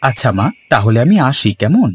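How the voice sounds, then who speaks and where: A man's voice: mid-range voice, big pitch swings, fairly quick, a little mellow, a little hiss or hum, lightly acted. A man voicing a dutiful, earnest young son in a cartoon, speaking politely and eagerly. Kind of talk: Bengali cartoon voice acting.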